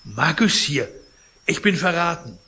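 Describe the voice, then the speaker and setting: A man's voice: very animated, a little slow, sharp tone, slightly rough, full character voice. A man reading an audiobook, voicing a sick, furious old male art collector who shrieks in panic and mocks bitterly. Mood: terrified. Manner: shrill, panicked and bitterly mocking.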